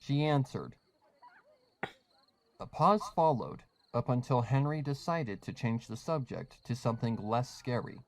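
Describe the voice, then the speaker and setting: A man's voice: medium pitch, lively intonation, a little slow. A man reading scripted fan fiction as a story narrator.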